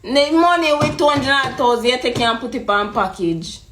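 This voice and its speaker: In an echoey, slightly noisy recording, a Jamaican woman speaks in a high voice. She presses her accusations in a heated call, confronting the listener directly. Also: expressive, moderate pace. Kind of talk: heated prank phone call. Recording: echoey room with clear noise.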